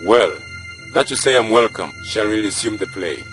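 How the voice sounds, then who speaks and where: A man's voice: fairly low pitch, expressive, moderate pace, lightly acted. A man playing a proud, sly village chief in his sixties in a student stage drama, with a pompous, teasing delivery. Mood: teasing, lordly. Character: proud sly old village chief, aged sixty-two. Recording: loud music under the voice.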